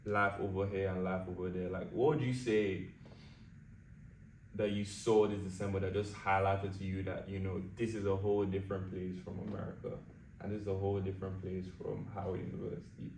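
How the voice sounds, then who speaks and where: Deep-voiced young man, echoey room. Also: flat delivery, fairly quick, a little mellow. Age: young adult. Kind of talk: casual chat. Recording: echoey room, distant mic, faint noise.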